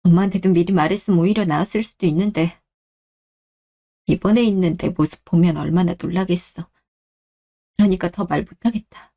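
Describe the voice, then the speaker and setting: A woman playing a gentle, apologetic young wife who speaks politely and softly in a radio drama. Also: lowish voice, brisk, pauses often. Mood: resigned, understanding. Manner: soft-spoken, conciliatory, polite. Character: gentle, apologetic young married woman, a patient daughter-in-law. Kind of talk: Korean radio drama acting.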